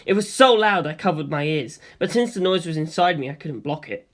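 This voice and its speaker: An audiobook narrator with a mid-pitched voice that moves up and down in a lively way. The delivery is expressive and theatrical, telling a story in the first person. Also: a little fast.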